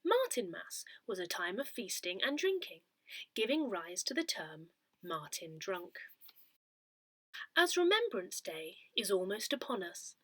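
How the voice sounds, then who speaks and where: A woman reading a scripted newsletter aloud in a measured, expressive way. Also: mid-range voice, no room sound. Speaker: newsletter reader.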